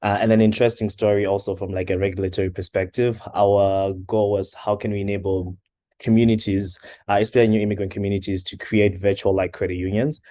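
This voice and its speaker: A young man from Zimbabwe speaks in a low, level voice with little rise and fall. The sound is dry and close, and he talks in a measured, hesitant way, pausing on uhs. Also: level tone, dark tone, no echo. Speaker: startup co-founder. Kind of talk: casual interview answers.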